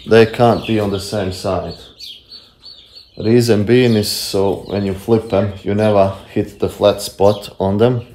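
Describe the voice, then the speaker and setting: Man in his thirties, low voice, chatty and self-deprecating, phone at arm's length, echoey stone shed. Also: fairly even intonation, a little slow, darkish tone. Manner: plain, chatty and self-deprecating, with frequent fillers. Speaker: homesteading vlogger. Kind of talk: casual talk to camera while working. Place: stone-walled workshop shed. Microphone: handheld phone camera at arm's length. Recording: echoey shed with faint background noise.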